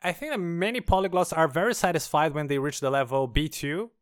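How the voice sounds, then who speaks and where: Man, smooth voice, slightly high, friendly podcast host. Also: a little fast, no room sound, studio quality. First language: Portuguese. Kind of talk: hosting an interview.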